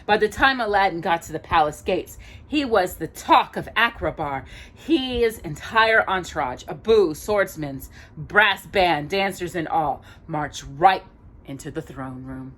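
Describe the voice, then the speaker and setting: Female storyteller, slightly low voice, very lively pitch; expressive read-aloud for small children, with gentle asides. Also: a little slow. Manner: expressive, emphatic reading with questions to a young listener. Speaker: storyteller and host.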